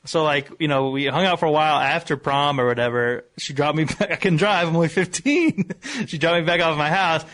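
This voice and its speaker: Young American male radio guest, a bit high in pitch, casual storyteller, rambling and self-mocking. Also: expressive, a little fast, hardly any pauses, fairly bright tone. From Missouri, United States. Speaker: sports columnist, radio guest.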